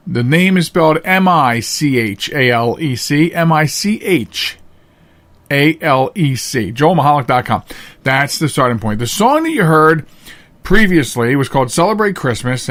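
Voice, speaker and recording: A man's voice: on the high side, fairly bright tone. A man hosting a podcast with casual, chatty monologues to listeners, talking in a folksy, rambling showman's way. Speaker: podcast host.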